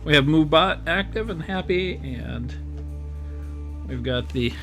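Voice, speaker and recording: A man with a weak, strained voice chats loosely while he live-streams a strategy game, rambling and restarting his sentences. He speaks into a boom mic at his home desk. Faint game music runs underneath. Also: lively intonation, slow, gravelly, a bit bright. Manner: chatty and rambling, with many fillers and restarts. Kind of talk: casual live-stream commentary while playing. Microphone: desk microphone on a boom arm.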